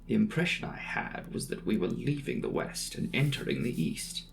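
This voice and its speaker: A man's voice: medium pitch, big pitch swings, moderate pace, gravelly, slight background noise. A man voicing an earnest, inquisitive young traveller reading out his journal in a precise, matter-of-fact way for a dramatic audiobook. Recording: music behind the voice.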